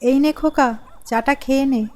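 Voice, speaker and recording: Protective cartoon mother, female actor: very high voice, fussy and insistent, horror tale. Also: fairly even intonation, moderate pace, a little mellow, lightly acted. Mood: coaxing. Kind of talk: animated horror story dialogue.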